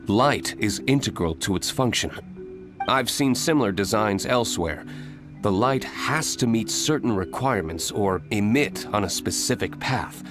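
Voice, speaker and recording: A man voicing an aloof, serious young nobleman in an anime-style video game, terse, formal and deadpan. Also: on the low side, a little slow, music in the background. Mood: analytical.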